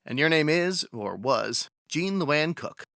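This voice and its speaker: An actor playing a tough, no-nonsense police sergeant in old radio drama style, matter-of-fact, pressing and sardonic. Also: male voice, on the high side, lively intonation, slow pace, vocal fry, bright, forceful tone, dry recording, clean studio sound, heavily acted. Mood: stern, needling. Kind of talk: 1950s radio detective acting.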